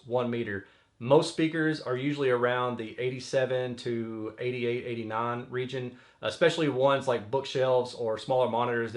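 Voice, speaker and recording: A man with a mid-pitched voice hosts an audio gear review. He talks casually and conversationally, explaining technical points plainly as he goes. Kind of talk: casual explanatory product commentary.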